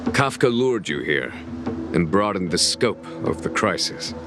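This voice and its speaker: An actor playing a calm, shrewd, formal army general in a game, speaking in measured, courteous lines. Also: male voice, deep voice. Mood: courteous, composed. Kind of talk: dramatic scripted game dialogue. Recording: loud music behind the voice.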